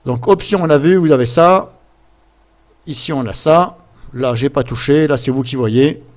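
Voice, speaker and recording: A man's voice: medium pitch, moderate pace. A man gives a casual, improvised screen-share tutorial, hesitant and rambling as he goes step by step into his computer microphone. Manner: rambling, hesitant, step-by-step, fond of filler words. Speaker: software tutorial presenter.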